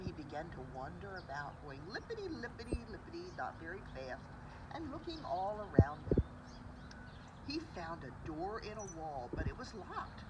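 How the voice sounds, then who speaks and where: A woman reading a children's storybook aloud like a preschool teacher, with expressive, dramatic emphasis for young listeners. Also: on the low side. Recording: distant mic with loud background noise.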